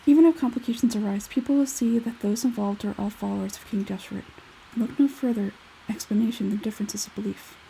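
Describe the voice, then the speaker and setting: A woman voicing an aloof young male scholar in a video game, speaking in a measured, detached, analytical way. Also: mid-range voice, fairly even intonation, gravelly, mellow, slight background noise, natural voice, low-quality recording. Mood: detached, explaining. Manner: measured, detached, matter-of-fact. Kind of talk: video game character dialogue read aloud.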